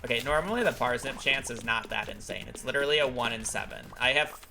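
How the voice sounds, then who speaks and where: A man's voice: mid-range voice, lively intonation, quick pace, vocal fry, bright, forceful tone, faint music behind. A man talking casually over a live gaming stream, explaining at length with many fillers, his voice congested from a recent illness. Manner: rambling, filler-heavy explanations.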